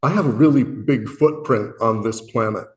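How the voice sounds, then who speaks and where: A man from Illinois with a booming voice, speaking earnestly and self-deprecatingly to a worship meeting, often pausing and restarting his sentences. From Illinois, USA. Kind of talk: reflective spoken message.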